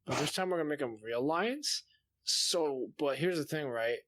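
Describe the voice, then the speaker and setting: A man's voice: fairly high pitch, animated, normal speed, fairly bright tone, no echo. A man co-hosting a podcast in casual banter, with sarcastic, sweary joking. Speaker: podcast co-host.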